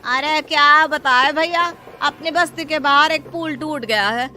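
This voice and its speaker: A woman's voice: high voice, unhurried, bright, forceful tone, voice pushed up, heavily acted. A woman voicing a cautious, agitated man from a slum, urgent and fearful, in a cartoon story. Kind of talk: cartoon moral story acting.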